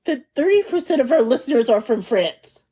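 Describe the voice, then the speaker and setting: A woman's voice: high voice, big pitch swings, a little fast, mellow, husky. A woman co-hosting a food podcast in casual chat, bubbly and chatty, laughing often. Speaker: podcast co-host.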